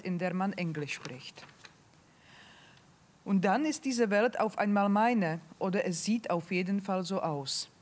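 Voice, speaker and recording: Woman, 40s, calm measured literary reading, slightly low voice, handheld mic. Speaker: reader at a literary panel. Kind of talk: reading a literary text aloud. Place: stage in a large library hall. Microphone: handheld microphone.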